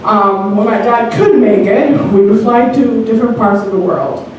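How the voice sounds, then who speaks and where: A woman's voice: fairly high pitch, moderate pace, a little mellow, a little hiss or hum. Earnest woman telling a story live. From Bangladesh. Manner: earnest, hesitant, many ums. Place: comedy theater stage. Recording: echoey theater from far off.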